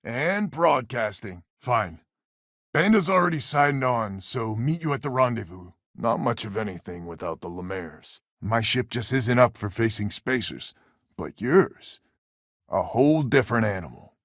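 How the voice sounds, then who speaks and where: A man's voice: mid-range voice, lively intonation, a little slow, a bit bright, no echo, clean studio sound. A man voicing a weary, stubborn space settler leader in a video game, plain-spoken and sardonic with a folksy manner. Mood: businesslike. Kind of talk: video game character dialogue.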